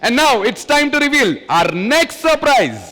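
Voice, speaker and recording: A man's voice: very high voice, sing-song, very slow, low-quality recording. A man with an Indian accent hosting a live stage show on television. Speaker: TV show host. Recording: loud music behind the voice. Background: loud show music.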